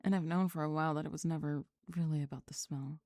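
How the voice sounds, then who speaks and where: A woman voicing a sulky young goth bully who is flustered and secretly lovestruck, halting and sighing in an ASMR roleplay. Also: low voice, steady tone, warm tone, dry recording, clean studio sound, voice pushed down, natural voice. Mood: shy confessing. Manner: halting, sighing, grudgingly tender and defensive. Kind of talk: ASMR roleplay drama, spoken to the listener.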